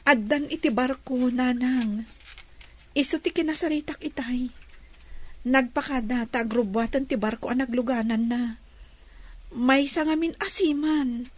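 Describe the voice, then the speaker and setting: A woman's voice: high-pitched, unhurried, pauses often, a little mellow. An actress playing an earnest young woman in love, in a radio drama. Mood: nervous, pleading. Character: earnest young daughter, secretly in love with a sailor.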